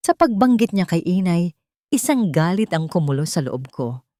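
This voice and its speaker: A woman's voice: fairly low pitch, very animated, moderate pace, warm tone, no room sound, studio quality. A woman reading scripted first-person dramatic fiction as a story narrator.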